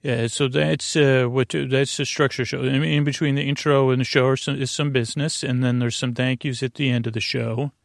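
A middle-aged American man with a gravelly voice, hosting a sleep podcast in a halting, rambling, soothing monologue that often trails off mid-thought. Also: medium pitch, little pitch movement, no echo, studio quality. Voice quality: gravelly, creaky. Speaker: bedtime podcast host.

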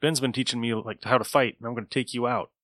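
A man's voice: medium pitch, fairly even intonation, quick pace, fairly bright tone, no echo, clean studio sound. A man hosting a podcast, chatting casually as he reviews an episode. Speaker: podcast host.

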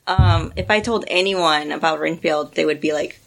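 A woman's voice: deep voice, moderate pace, gravelly. A woman on a casual podcast who chats in a giggly, self-deprecating way.

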